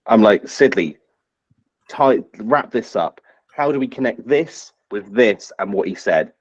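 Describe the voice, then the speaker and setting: Man, tabletop gamer, in-character banter, swooping intonation, rough-edged voice, slightly dull audio. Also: fairly low pitch, expressive, measured pace. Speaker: player in a tabletop roleplay game.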